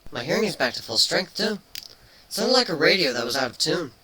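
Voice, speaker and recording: A man voicing a playful, teasing female changeling pony in a cartoon fan fiction reading, matter-of-fact even with mock threats. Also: on the high side, fairly quick, slightly rough, pitched above the performer's own voice, exaggerated voice, poor audio. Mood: chatty, teasing.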